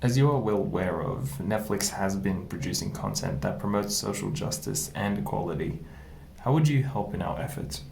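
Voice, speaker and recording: A man with a somewhat low, gravelly voice reads formal interview questions. He is recorded in an echoey room with faint background ambience. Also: expressive, moderate pace, a little mellow, a little hiss or hum. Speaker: practice interviewer.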